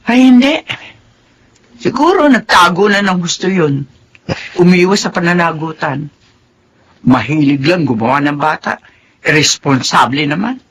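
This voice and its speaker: An actress playing an indignant middle-aged Filipino mother and grandmother in a radio drama, husky and scolding. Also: fairly low pitch, animated, a little slow, long pauses. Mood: disapproving.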